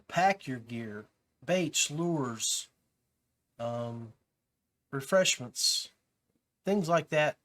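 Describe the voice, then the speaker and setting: A man hosting a podcast, talking casually and instructively to viewers in a halting way, often repeating words. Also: fairly high pitch. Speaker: podcast host.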